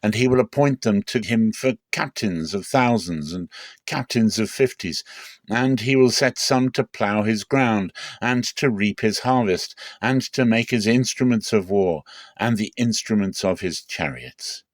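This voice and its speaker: A man's voice: lowish voice, unhurried, dry recording, studio quality, natural voice. A man reading scripture dramatically as an old prophet, solemn and emphatic, sternly warning his people.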